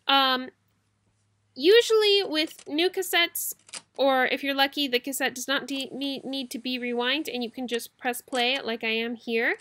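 A woman's voice: sits high, a little slow, a bit bright, a little hiss or hum. A young woman chatting casually and informally to viewers as she hosts a how-to video, in a giggly, rambling manner. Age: young adult. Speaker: YouTube host, cassette collector. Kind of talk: casual tutorial chat to viewers. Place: small bedroom, indoors.

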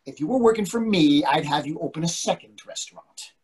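A man's voice: a little high, expressive, a little fast, a little mellow, breathy edge. A man in his sixties acting in a comic readers theatre play as a dry, commanding management consultant, over a video call. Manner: dry, authoritative. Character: self-assured older management consultant, dryly commanding husband. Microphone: computer microphone on video call.

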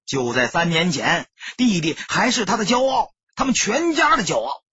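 A man's voice: sits high, sing-song, normal speed, pushed and bright, husky, dry recording, muffled recording. A man narrating an audiobook as scripted dramatic storytelling, solemn and emotive.

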